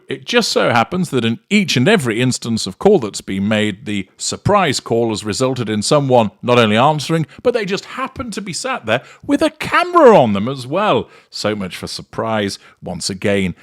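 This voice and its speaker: A man's voice: medium pitch, very animated, normal speed, no room sound, studio quality. A man hosting a commentary show, delivering scripted sarcastic remarks in a mocking, drily theatrical manner.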